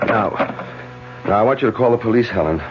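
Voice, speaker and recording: An actor playing an urgent, solicitous doctor who takes authority under strain, in a vintage radio drama style. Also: male voice, on the low side, expressive, quick pace, slight background noise, natural voice. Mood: urgent, controlled. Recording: dull lo-fi old radio recording.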